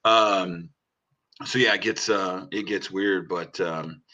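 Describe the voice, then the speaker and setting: A man's voice: low-pitched, steady tone, slow pace, sharp tone. A man hosting a casual podcast chat, laid-back and peppering his talk with dude and bro. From Washington state. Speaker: podcast host. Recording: dull lo-fi sound.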